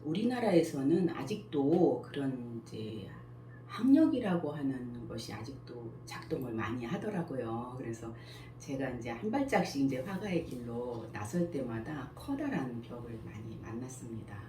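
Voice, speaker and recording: A woman in her sixties, a school principal and picture book author, answering interview questions in a slow, modest, measured way. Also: rumbling low, big pitch swings, mellow. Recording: echoey room, faint background noise.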